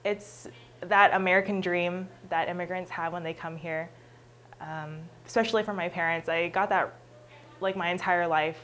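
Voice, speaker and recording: A young woman from Texas talks about herself unrehearsed, her voice sitting a little low. She is earnest and reflective, reaching for words with frequent "like" and "um". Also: fairly bright tone, slight background noise. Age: young adult. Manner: earnest, leans on "like" and "um". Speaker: student interviewee. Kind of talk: unscripted reflective answers.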